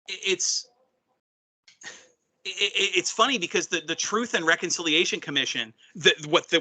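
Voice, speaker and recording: Bright-voiced man, a bit hoarse. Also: sits high. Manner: rambling, restarts often, clears throat. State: losing his voice. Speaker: wrestling podcast co-host. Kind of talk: casual chat.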